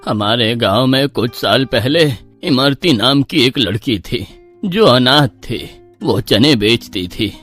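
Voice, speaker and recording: An actor playing an opinionated, lecherous village uncle in a cartoon story, with an emphatic manner. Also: male voice, medium pitch, lively intonation, takes it slowly, a bit bright, soft background music. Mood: flirtatious. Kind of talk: Hindi cartoon horror story.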